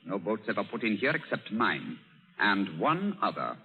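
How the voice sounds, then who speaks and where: An actor playing a menacing French pirate captain with a French accent, mock-courteous and theatrical, in a vintage radio drama. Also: male voice, lowish voice, big pitch swings, moderate pace, phone-quality sound. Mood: smug menace. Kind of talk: 1930s-40s radio drama acting. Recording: band-limited old radio broadcast.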